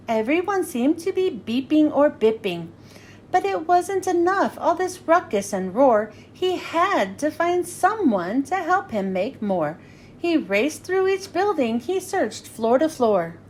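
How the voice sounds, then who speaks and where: A woman reading a rhyming picture book aloud to children in an expressive storytelling style, acting out the dialogue. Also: high voice, a little slow, a little hiss or hum. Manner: expressive, acts out character dialogue.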